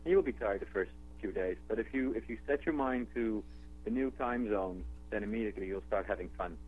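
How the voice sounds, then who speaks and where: A man from the Netherlands with a Dutch accent, speaking as a guest in a radio interview in a relaxed, conversational way with fillers and restarts. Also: fairly low pitch, a little fast, faint music behind. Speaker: guest, travel company executive. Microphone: telephone. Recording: tinny phone line with faint hum.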